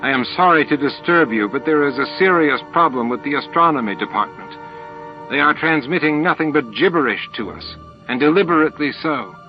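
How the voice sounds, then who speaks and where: A man's voice: on the high side, animated, brisk, pitched above the performer's own voice, natural voice. A man voicing a flustered, anxious male alien underling in an audiobook, gasping, pleading and indignant. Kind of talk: audiobook character acting. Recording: dull lo-fi tape with music.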